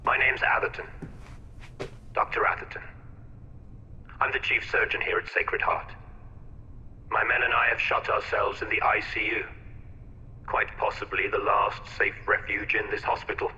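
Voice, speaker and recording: A man playing an eccentric, sinister chief surgeon in a video game, speaking with florid, mocking courtesy in a breathy half-whisper. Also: on the low side, level tone, moderate pace, long pauses, bright, forceful tone, muffled recording, slight background noise, heavily acted. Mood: grandly formal. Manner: theatrical, florid, mocking politeness. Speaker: game voice actor. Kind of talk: video game cutscene acting. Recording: echoey intercom in a reverberant hall.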